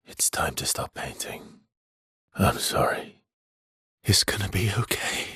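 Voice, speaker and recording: An actor playing a weary, gentle, guilt-ridden expedition guide in a dramatic video game, with a husky, breathy, hushed and halting delivery. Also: male voice, sits low, lively intonation, no room sound, studio quality, full character voice. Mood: tired, tender. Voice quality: husky, breathy, often near a whisper.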